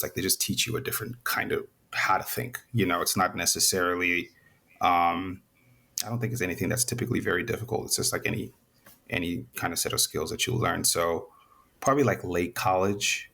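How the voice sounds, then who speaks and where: A young man from Zambia talks through a casual interview in a low, even voice that sits in a gravelly fry. He rambles a little, restarting his sentences as he goes. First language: Nyanja. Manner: relaxed, rambling with many restarts. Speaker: interview guest.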